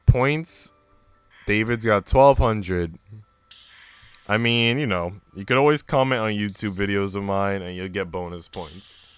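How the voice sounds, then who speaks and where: A man's voice: on the low side, measured pace, a bit bright, clean tone, no echo, soft background music. A man live streaming in an informal, slangy way, moving between casual chat and reading aloud.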